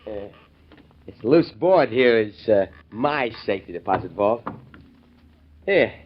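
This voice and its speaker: A man's voice: fairly low pitch, animated, slow pace. An actor playing a sly, cocky man with a chuckling manner in a 1940s radio drama. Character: greedy sly man, shot near the end. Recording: dull old radio recording with faint hiss.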